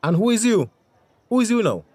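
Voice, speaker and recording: A man's voice: high-pitched, sing-song, brisk, darkish tone. An actor playing a brash, suspicious man in a radio drama, with a Tobagonian accent and a confrontational, mocking manner. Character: brash, suspicious man challenging everyone at a wake.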